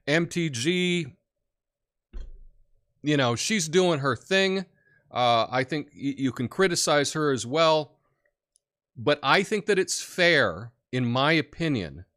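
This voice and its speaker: A man hosting a political commentary show, who talks in an opinionated, rambling way with many fillers and has a big booming voice. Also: a bit bright. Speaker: podcast host.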